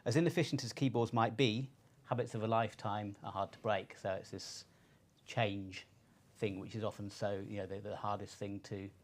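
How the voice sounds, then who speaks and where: A man's voice: fairly low pitch, fairly even intonation, moderate pace. A man presenting research findings to a panel in an informal, explanatory manner.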